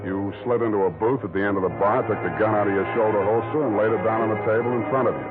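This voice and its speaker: An actor playing a wisecracking, hard-boiled private detective, narrating and talking in a deadpan, sardonic 1940s radio style. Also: male voice, lowish voice, quick pace, lightly acted. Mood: dry, deadpan. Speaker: narrator and lead. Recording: muffled vintage radio recording with music.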